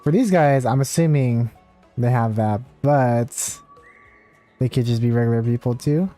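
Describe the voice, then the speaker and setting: A man commentates casually on a game he is streaming. His voice is relaxed and mellow at a middle pitch, as he talks to his chat over background music. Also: measured pace. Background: video game music.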